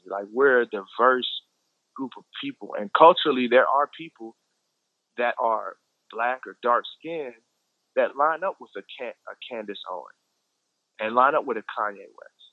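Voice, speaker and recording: A man's voice: mid-range voice, normal speed, narrow, tinny audio. A man with an American accent explaining his views in casual conversation, emphatic and repeating phrases for stress.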